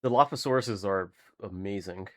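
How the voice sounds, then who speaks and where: A man's voice: deep voice, a little fast, fairly bright tone. A man hosting a reaction video, commenting in an informal, musing way. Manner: informal, musing, mild swearing.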